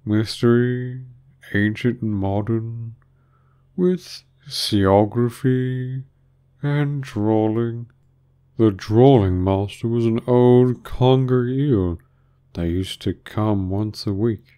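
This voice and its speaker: A man voicing a mournful, touchy old turtle from a storybook, with a drawn-out, sighing delivery. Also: mid-range voice, dark tone, clear, resonant tone, pitched above the performer's own voice, natural voice. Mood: weary. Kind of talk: storybook character reading.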